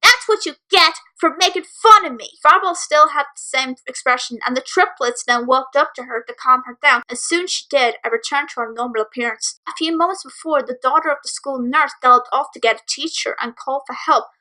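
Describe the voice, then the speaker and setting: A woman with a high voice reads a story aloud. Her tone is bright and forward, and she narrates in a dramatic reading style, as for fan fiction. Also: fairly quick, few pauses, poor audio.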